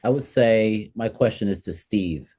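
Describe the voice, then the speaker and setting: Man, late 40s, American accent, low pitch, mellow dark tone, casual podcast panelist. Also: measured pace, slightly rough. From New Jersey, United States. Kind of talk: casual roundtable talk.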